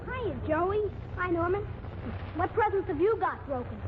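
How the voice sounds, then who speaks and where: A woman's voice: extremely high pitch, animated, moderate pace. A woman playing cheeky, bickering young children in a 1940s radio comedy, with slangy childlike talk. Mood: curious, deadpan. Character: cheeky, grumbling young boys and a bossy little girl. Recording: tinny, noisy 1940s radio recording.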